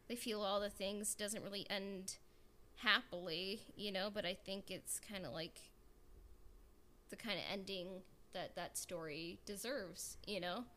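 A woman podcast host in relaxed, informal conversation, with a medium-pitched voice. She moves between reading listener comments and chatting about them. There is some noise behind her.

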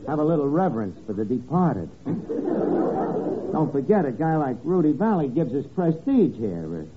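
Slangy wisecracking tavern manager, noisy old radio. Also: male voice, a little high, sing-song, normal speed, phone-quality sound. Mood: dry, protesting. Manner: slangy, dry wisecracking with hesitations. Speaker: comedy star. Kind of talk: 1950s radio sitcom acting. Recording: noisy old radio broadcast, studio audience. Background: live audience.

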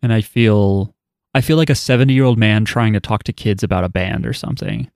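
A man's voice: fairly low pitch, fairly quick, darkish tone, smooth, dry recording, studio quality. A man hosting a podcast, chatting casually and reading out listener email with offhand, wry asides. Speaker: podcast host.

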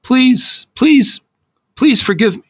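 A man's voice: high-pitched, measured pace, breathy edge, no room sound, voice pushed up, exaggerated voice. A man voicing a frightened fifteen-year-old boy who is crying, stammering and pleading. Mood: sobbing, pleading.

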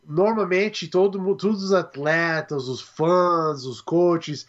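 Homem canadense, convidado, português como segunda língua, procura as palavras, tom um tanto alto, som fosco. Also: male voice, a little high, dull audio. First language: English. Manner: halting, searching for words in a second language. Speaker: guest. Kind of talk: casual interview chat.

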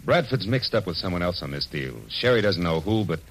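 An American actor playing a tough, sardonic private detective in an old radio noir drama, with curt, slangy delivery. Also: male voice, deep voice, animated, a little fast, a bit bright, slight background noise, rough recording. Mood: brisk, urgent. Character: hard-boiled, bullying male private detective, sardonic and tough. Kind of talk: 1940s-1950s radio noir acting and first-person narration.

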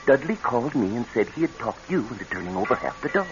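Man playing rattled crook, animated muffled voice. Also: mid-range voice, slight background noise, lightly acted. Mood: alarmed. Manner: agitated, halting. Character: nervous, indignant male crook, easily rattled. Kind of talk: radio drama acting. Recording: muffled old radio show, faint music.

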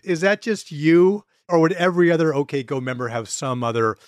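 A man's voice: fairly high pitch, steady tone, normal speed, no room sound, studio quality. A man bantering casually as a podcast co-host, with a wry, teasing way of talking.

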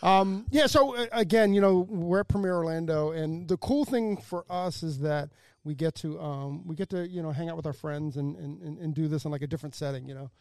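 Guy hosting a casual podcast, kinda high voice, jokey with his buddies, dry sound. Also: fairly quick, gravelly, few pauses, a little mellow, no echo. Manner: chummy, joking. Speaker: podcast host. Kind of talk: casual live podcast chat.

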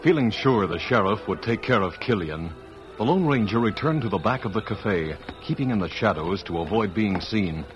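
A man's voice: lowish voice, expressive, moderate pace, natural voice. A man narrating a western adventure in solemn, dramatic old-time radio style. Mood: dramatic, suspenseful. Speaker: announcer-narrator. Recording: dull old radio recording with music.

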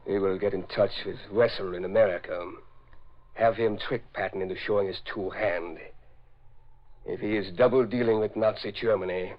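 An actor playing a coolly plotting, menacing Gestapo agent in a 1940s radio drama, speaking in a measured, formal way. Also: male voice, on the low side, close to an everyday voice. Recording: dull lo-fi 1940s radio recording.

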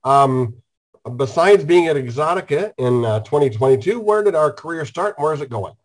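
A man's voice: a little high, lively intonation, normal speed, rough recording. A man hosting a casual online talk show while stuffed up with a cold, joking and rambling through his stories. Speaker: host.

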